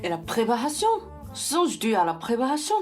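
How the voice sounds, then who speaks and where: Woman playing a high, swooping pompous old swindler. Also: sing-song, fairly quick, faint music behind. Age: young adult. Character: older male swindler, pompous, theatrical mentor of crooks. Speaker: student actor in a radio play. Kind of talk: theatrical radio-play acting.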